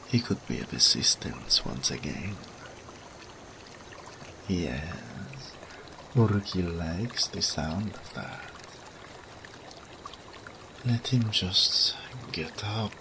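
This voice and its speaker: A man playing a suave, flirtatious naga oracle in a roleplay audio drama, formal and languid, purring and sometimes breathy, speaking of himself in the third person. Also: low-pitched, very slow, long pauses, heavily acted. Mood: soothing, inviting. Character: suave, flirtatious male naga oracle, self-assured and teasing. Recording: steady water and ambience noise.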